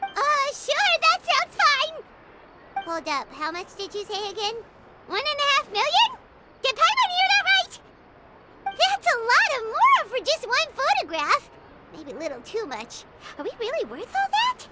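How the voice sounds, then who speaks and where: A woman voicing a tiny childlike fairy companion in a video game, squeaky, cheeky, excitable and exclamatory. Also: a little slow, heavy background noise, exaggerated voice. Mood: stunned. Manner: refers to self in third person, exclamatory. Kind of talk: animated video game character acting. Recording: loud background music under the voice.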